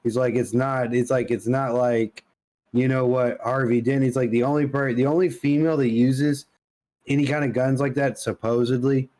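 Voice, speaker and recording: A man's voice: medium pitch, fairly even intonation, normal speed, darkish tone. A man co-hosting a podcast in loose, casual chat, peppering his talk with slang. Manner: informal, loose, says dude and like often. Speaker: podcast co-host. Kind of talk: casual chat about comics and films.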